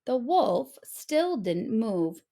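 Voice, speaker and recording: Animated, slow-paced woman reading a storybook. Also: a little high. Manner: dramatic, expressive. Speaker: storybook reader. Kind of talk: expressive scripted narration of a children's story.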